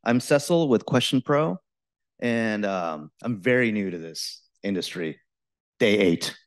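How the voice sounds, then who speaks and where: A man with a loud, somewhat low voice speaks from the audience into a handheld mic. He is chatty and informal, and he rambles a little as he builds up to his question. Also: expressive, a little slow. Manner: chatty, informal, self-deprecating. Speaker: audience member asking a question. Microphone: handheld mic at a live event.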